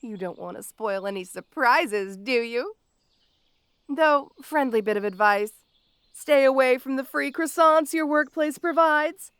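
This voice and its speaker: A woman's voice: high-pitched, lively intonation, unhurried, a bit bright, clean tone, no room sound, studio quality. A woman playing a petty, obsessive supervillain in an audio roleplay, with a taunting, mock-sweet, theatrical delivery. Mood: gleeful teasing.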